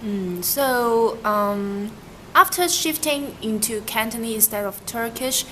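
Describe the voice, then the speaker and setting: A woman's voice: on the high side, lively intonation, slow, sharp tone, slight background noise, low-quality recording. Hesitant young woman asking questions. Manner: hesitant questions with fillers and restarts. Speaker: interviewer. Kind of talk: casual student interview.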